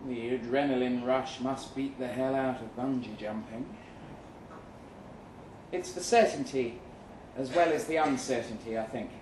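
A man performing a live short-story reading as a weary, jaded agent, speaking deliberately with dramatic pauses. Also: medium pitch, close to an everyday voice. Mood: grave, reflective. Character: weary, jaded male agent narrating his own story. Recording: roomy live venue, some noise.

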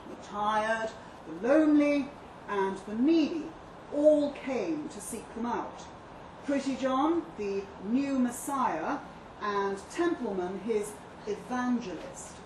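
Woman playing a slow, measured author, echoey. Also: on the high side. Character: measured, earnest female author interviewed about a cult. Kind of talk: dramatic monologue read live. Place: live reading in a pub venue. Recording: distant echoey live room, noisy background.

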